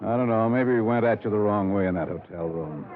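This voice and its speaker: An actor playing a tough, weary middle-aged small-town police lieutenant in a 1950s radio detective drama, gruff and commanding with clipped questions. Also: male voice, lowish voice, fairly quick, crowd noise, lightly acted. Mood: weary, conciliatory. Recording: 1950s radio broadcast, thin phone-like band.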